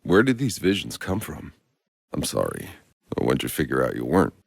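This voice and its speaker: An actor playing a world-weary, sardonic soldier in a video game, gravelly, dry and blunt. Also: male voice, very deep voice, wildly swooping pitch, normal speed, no room sound, heavily acted. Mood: subdued, earnest. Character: gruff, world-weary male Outrider soldier, sardonic and foul-mouthed.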